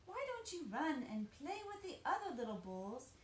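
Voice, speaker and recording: A woman voicing a worried, caring mother cow from a storybook, coaxing and gently fretful. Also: high voice, very animated, moderate pace, pitched above the performer's own voice. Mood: coaxing, concerned. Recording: roomy echo, faint background noise.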